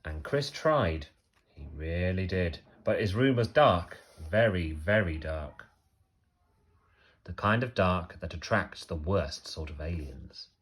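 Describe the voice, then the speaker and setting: A man reading a children's story aloud like a teacher, expressively, with emphatic phrasing and pauses for effect. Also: sits low, expressive, a bit bright, slight background noise.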